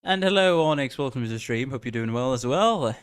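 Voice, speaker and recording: An excitable male streamer with a light Indian accent softened by American and British influences. He talks in a middle register. He chats casually with his viewers, calling out their names as they arrive. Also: mid-range voice, fairly quick, clear, resonant tone. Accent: Indian, blended with American and British. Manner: excitable, chatty, greets viewers by name. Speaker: streamer reacting to game music. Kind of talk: casual live-stream chat.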